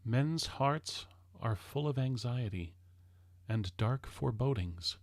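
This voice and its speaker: A man reading a historical speech aloud as formal oratory, solemn and declamatory, with deliberate pauses between measured phrases. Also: medium pitch, expressive, no room sound, studio quality.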